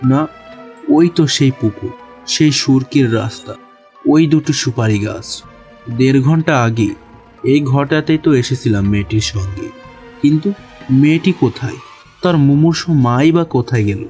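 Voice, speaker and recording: A man narrating a horror story in the first person with a deliberate, suspenseful, literary delivery. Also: mid-range voice, darkish tone. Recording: music behind the voice.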